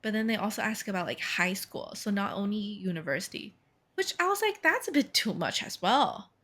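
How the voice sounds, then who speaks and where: A woman's voice: medium pitch, animated, fairly quick, a bit bright. A woman in her twenties from Taiwan with a North American accent, chatting casually as a podcast co-host, full of fillers and quoted asides. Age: 20s. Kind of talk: casual chat.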